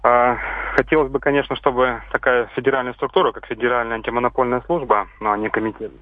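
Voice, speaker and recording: A male caller speaks over a tinny telephone line. He talks quickly and politely, making a formal and earnest case. His voice is dry and close to the mic. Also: medium pitch, little pitch movement, no room sound. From Sevastopol region. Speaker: radio caller.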